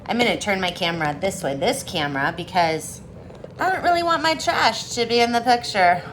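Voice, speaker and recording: Bright-voiced woman reading aloud to children. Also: mid-range voice, lively intonation, a little fast, slight background noise, poor audio. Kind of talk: online storybook read-aloud with casual chat. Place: indoors, on a video call.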